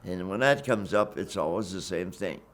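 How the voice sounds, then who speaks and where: A man's voice: low-pitched, animated, normal speed. An elderly man in his nineties recounts his life in an oral-history interview. He speaks earnestly and with emphasis, circling back to repeat his points and stumbling over words as he goes. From Wisconsin, USA. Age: 90s. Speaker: WWII veteran interviewee. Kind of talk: reflective oral-history storytelling.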